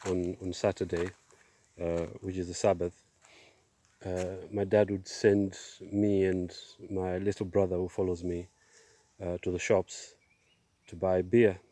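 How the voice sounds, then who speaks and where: A middle-aged man giving a personal testimony outdoors, speaking in a halting, measured way with pauses. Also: deep voice, flat delivery, mellow, no room sound. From Zimbabwe, born in Zambia. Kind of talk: personal testimony, casual monologue. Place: outdoors, on a walk.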